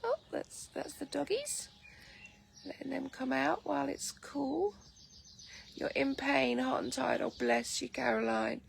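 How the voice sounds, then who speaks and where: A woman with an English accent chatting casually on a morning livestream from her garden. She has a croaky voice just after waking and rambles in a relaxed way, with many ums. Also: high voice, unhurried, slight background noise. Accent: English, Lincolnshire. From England. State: just woken, sleepy. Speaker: vlogger greeting live viewers. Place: outdoors in a garden. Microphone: phone camera. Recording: outdoor phone audio with loud birdsong. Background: birdsong and light breeze.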